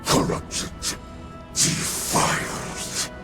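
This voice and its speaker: An actor playing a weary, sick alien elder who speaks solemnly and formally with a breathy voice. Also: male voice, extremely slow, music in the background. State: weak from illness. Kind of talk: game cutscene acting.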